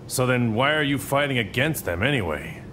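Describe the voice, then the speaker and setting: An actor playing a friendly, gruff military squad soldier in a video game cutscene. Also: male voice, expressive, moderate pace, natural voice. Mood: earnest, curious. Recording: loud aircraft rumble behind.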